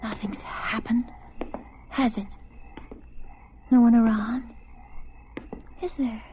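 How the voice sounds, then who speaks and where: An actress playing an anxious woman walking alone at night in a vintage radio suspense play, talking to herself in halting, breathy, often whispered phrases. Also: a little high, fairly even intonation, a little hiss or hum. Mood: creeping dread. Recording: muffled old radio recording with music.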